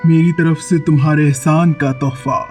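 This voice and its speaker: A wise, grateful old spirit of a tree in a fairy-tale cartoon, played by a man. The voice sits a little high for a male and speaks gently and solemnly over music. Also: fairly even intonation, lightly acted. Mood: solemn, generous.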